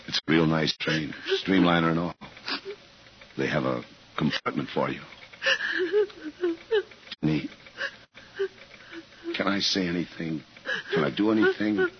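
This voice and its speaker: Very deep-voiced, smitten man, pleading. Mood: gentle, hesitant. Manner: halting, earnest. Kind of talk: 1950s radio acting. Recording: muffled, noisy 1950s radio recording.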